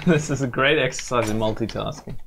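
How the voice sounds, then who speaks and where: A man's voice: mid-range voice, brisk, creaky. A man chatting casually and telling stories in a jokey, rambling way while gaming as co-host. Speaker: gamer co-hosting a let's play. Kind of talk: casual gaming banter and storytelling. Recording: background noise with controller clicks.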